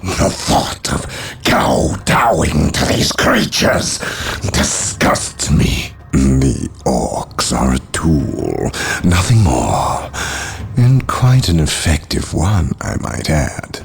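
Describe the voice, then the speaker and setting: Very deep, sinister armoured villain. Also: male voice, wildly swooping pitch, slight background noise, full character voice. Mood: cold disdain. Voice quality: deep, gravelly. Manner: measured, disdainful, formal. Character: sinister, scheming Chaos Space Marine villain, haughty and cold. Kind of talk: video game cutscene acting.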